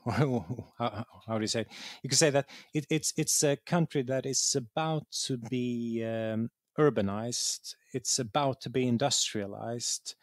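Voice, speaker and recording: A man's voice: mid-range voice, dry recording, studio quality. A man with a Swedish accent, a native Swedish speaker, talking conversationally as an interview guest and often searching for words. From Sweden. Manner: halting, searching for words, repeats words. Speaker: interview guest, game writer.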